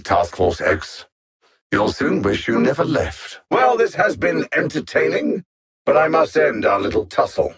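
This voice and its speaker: Arrogant alien villain for a game, husky n creaky, super condescending, formal n theatrical. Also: male voice, mid-range voice. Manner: haughty, condescending, precise and formal wording. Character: arrogant alien supervillain mastermind, coldly mocking conqueror.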